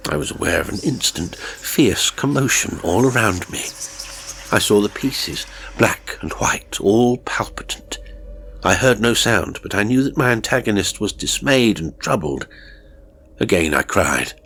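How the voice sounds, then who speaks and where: A man narrating a horror story in a measured, suspenseful and theatrical way. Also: lowish voice, expressive, fairly bright tone, a little hiss or hum. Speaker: audiobook narrator.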